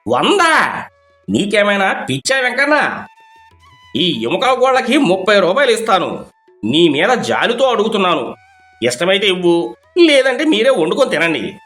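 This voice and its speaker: A cunning male trader from a cartoon moral tale, acted by a man, pitched high and squeezed upward. He haggles with open scorn and dismisses whatever he is offered, over a music bed. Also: very animated, normal speed, pauses often, a bit bright. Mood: dismissive haggling. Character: cunning, dismissive male city poultry broker.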